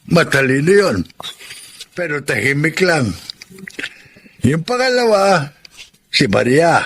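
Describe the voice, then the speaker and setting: An actor playing a lonely, gentle old widowed father in a Filipino radio drama, speaking tenderly and haltingly with a Filipino accent. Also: a little high, full character voice. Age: older, grandfather figure. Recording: faint background noise.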